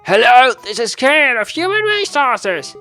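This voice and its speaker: A man's voice: very high-pitched, big pitch swings, unhurried, sharp tone, faint music behind, voice pushed up, full character voice. A man voicing a curt, dismissive human resources officer in a visual novel, with an officious manner. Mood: brisk, dismissive. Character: brisk, unhelpful human resources officer on the phone.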